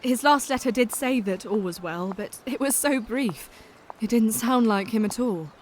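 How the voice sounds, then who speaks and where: An actress playing a gentle, modest young Englishwoman in an audio drama, speaking tenderly and earnestly. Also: on the high side, normal speed, a little hiss or hum, close to an everyday voice. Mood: worried. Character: gentle, worried young woman, modest friend.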